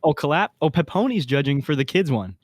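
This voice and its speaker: A man's voice: on the high side, animated, brisk, dry recording. A man co-hosting a podcast in casual, slangy chat, talking like an enthusiastic fan. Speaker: podcast co-host.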